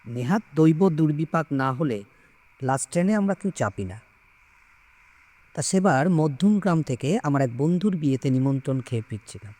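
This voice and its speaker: A man reading a story aloud in a measured, expressive, suspenseful way with dramatic pauses. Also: a little high. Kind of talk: dramatic scripted story narration.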